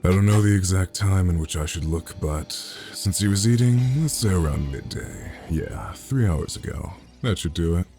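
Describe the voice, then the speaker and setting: A man playing a gruff, sceptical, commanding gangster in an audio drama, with a curt, deliberate delivery. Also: low-pitched, animated, mellow. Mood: matter-of-fact. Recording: background music under the voice.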